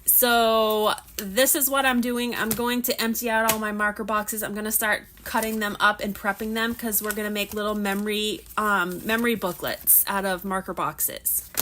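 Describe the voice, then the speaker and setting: A woman's voice: mid-range voice, little pitch movement, normal speed, few pauses, a bit bright, a little hiss or hum. A woman in her thirties, chatting casually on a livestream while she preps crafts, a little nasal from allergies, rambling with frequent restarts. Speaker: livestream host.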